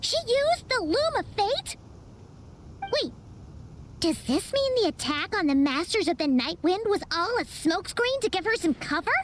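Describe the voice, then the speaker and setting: A woman voicing a tiny, childlike fairy companion in a video game, excitable, inquisitive and very expressive. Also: normal speed, slight background noise. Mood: shocked. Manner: squeaky, expressive, questioning. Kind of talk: cartoonish video game acting.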